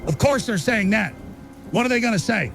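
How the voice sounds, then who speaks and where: An earnest conspiracy researcher with a high, gravelly voice, giving an interview in a radio drama. He explains things with urgent conviction while music plays underneath. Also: lively intonation, fairly quick, fairly bright tone, heavily acted. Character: earnest, insistent male conspiracy researcher guest. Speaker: interview guest. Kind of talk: talk show interview in audio drama.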